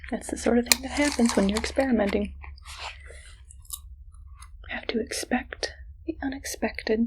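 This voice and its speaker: A woman playing a friendly but evil scientist in an ASMR roleplay, speaking softly in a playful, mock-clinical, unhurried way. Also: on the high side, lightly acted, low-quality recording. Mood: gleeful, clinical. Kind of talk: whispered ASMR medical roleplay.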